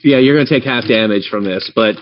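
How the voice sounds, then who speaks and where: A man's voice: lowish voice, fast talker, dull audio, background noise. A man running a tabletop game as game master, narrating the action and chatting encouragingly with players.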